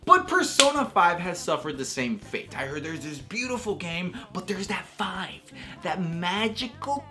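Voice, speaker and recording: A young man giving casual, emphatic video-essay commentary on games, with slangy phrasing and drawn-out stressed words. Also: fairly high pitch, a bit bright. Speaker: video game reviewer, host. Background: background music.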